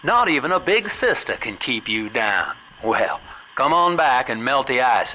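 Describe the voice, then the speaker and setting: A man's voice: mid-range voice, noisy background. A man playing a folksy, scheming businessman with an American Southern accent, guiding the player in a video game with avuncular salesman patter. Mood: wry, pleased. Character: folksy, scheming male businessman, smooth opportunist guide. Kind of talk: video game voice acting, radio guidance.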